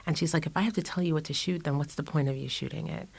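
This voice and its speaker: A woman's voice: low-pitched, steady tone, very fast, vocal fry, darkish tone, breathy edge. A middle-aged woman guest in a casual interview, speaking reflectively with fillers and restarts. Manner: reflective, many fillers and restarts, laughs often. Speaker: guest artist.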